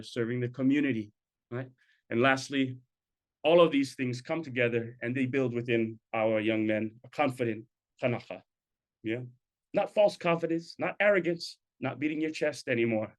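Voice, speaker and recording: A middle-aged man, speaking through a webcam on a video call from an indoor room. He presents in a conversational way and checks in with his listeners as he talks. His voice sits at an ordinary male pitch. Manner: conversational, tag questions, sprinkles in Hawaiian words. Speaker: program presenter. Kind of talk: presenting a talk.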